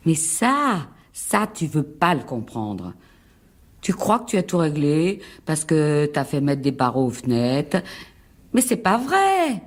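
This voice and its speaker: An actress playing an anxious, fragile wife in a radio mystery drama, husky, plaintive and reproachful. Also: female voice, low voice, wildly swooping pitch, slow pace, full character voice. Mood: anxious, accusing. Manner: plaintive, pleading.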